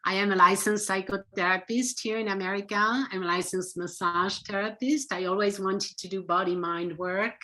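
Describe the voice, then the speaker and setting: Interview guest: middle-aged woman, French accent, bright and earnest. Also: mid-range voice, steady tone, moderate pace, few pauses. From France. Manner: earnest, emphatic, explains with conviction. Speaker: psychotherapist guest. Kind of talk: interview answers.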